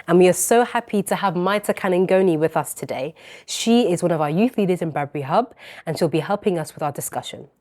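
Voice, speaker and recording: A woman's voice: lowish voice, quick pace, darkish tone. A teenage girl hosting a talk show in an upbeat, enthusiastic way, with an English accent that has touches of Northern Irish. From born in England, raised in Northern Ireland. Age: teens. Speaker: host.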